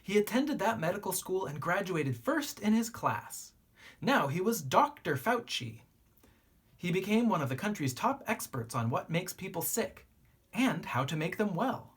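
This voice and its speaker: Expressive storybook reader with a husky edge. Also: medium pitch, measured pace. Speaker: teacher reading a picture book aloud. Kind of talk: expressive children's storybook read-aloud.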